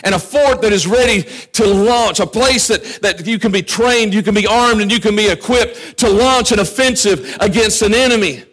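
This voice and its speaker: A man preaching a sermon with fervor, often dropping to quiet asides. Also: sits high, normal speed, hardly any pauses, rough recording. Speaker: pastor. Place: church.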